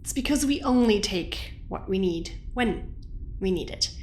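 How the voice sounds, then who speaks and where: A woman's voice: mid-range voice, expressive, unhurried, lightly acted. A woman playing a stern, businesslike scientist in an audio drama, speaking bluntly with a clipped, reproachful manner.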